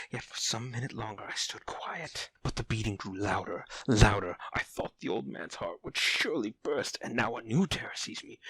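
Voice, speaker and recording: A man playing a mad, nervous murderer in a gothic horror audio drama, intense and theatrical, moving between breathy whispers and frantic cries. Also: mid-range voice, fairly bright tone, dry recording, exaggerated voice, low-quality recording. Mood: tense dread. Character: mad murderer, nervous man feverishly confessing his crime.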